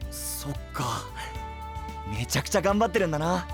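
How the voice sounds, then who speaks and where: A cheerful young knight in an anime-style audio drama. He is boyish, adventurous and easygoing, with admiring enthusiasm in a very mobile pitch, over music. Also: sing-song, normal speed. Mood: concerned, impressed.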